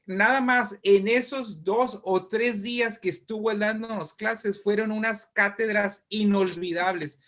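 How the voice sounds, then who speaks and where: High-pitched Mexican man telling anecdotes. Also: fairly even intonation, measured pace, few pauses, a bit bright. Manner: hesitant, repeats words. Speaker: guest writer and poet. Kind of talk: anecdotal interview talk.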